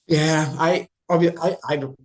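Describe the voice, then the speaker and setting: A man from Brazil with a Brazilian Portuguese accent, speaking haltingly as a panel guest, restarting and repeating words often. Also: fairly high pitch, fairly even intonation. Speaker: industry guest. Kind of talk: panel discussion.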